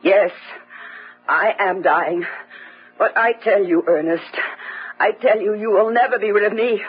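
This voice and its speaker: An actress playing a vengeful dying woman, menacing and insistent, in a radio horror drama. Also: fairly high pitch. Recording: muffled old radio recording.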